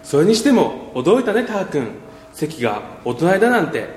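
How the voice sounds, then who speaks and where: Guy doing a naggy coy schoolgirl for a radio drama, swoopy pitch, noisy room. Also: big pitch swings, moderate pace, darkish tone. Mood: teasing, pleased. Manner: coy, nagging, stammers when flustered. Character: caring, flustered, scolding high school girl, childhood friend. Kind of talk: amateur radio drama acting. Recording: roomy reverberant echo with clear noise.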